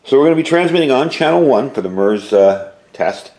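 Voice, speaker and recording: A man's voice: mid-range voice, expressive, a little fast, a little mellow. A man giving a casual, informal product review, explaining details as he goes, recorded indoors at home. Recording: roomy indoor echo.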